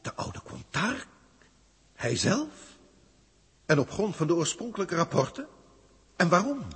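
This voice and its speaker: An actor in a 1960s radio drama playing an old, emotional alien proctor, speaking theatrically in formal, archaic phrases with a husky voice. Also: male voice, high voice, big pitch swings, measured pace, fairly bright tone, heavily acted. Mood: astonished. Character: old emotional alien senior proctor, male teacher, wry. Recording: reverberant 1960s radio studio recording.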